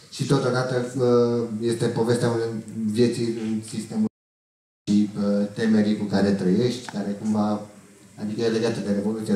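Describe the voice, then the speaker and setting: A middle-aged man gives an informal, halting answer on a stage panel, often repeating words. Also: fairly low pitch, mellow, a little hiss or hum. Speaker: film crew member on panel. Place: auditorium stage, indoors. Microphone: handheld microphone held low. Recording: very echoey hall, distant.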